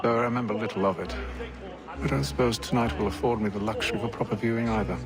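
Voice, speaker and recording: An actor playing a reserved, curt 18th-century English gentleman with a British accent, formal and composed, in a game cutscene. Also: fairly low pitch, steady tone, fast, over music, close to an everyday voice. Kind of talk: dramatic game cutscene acting.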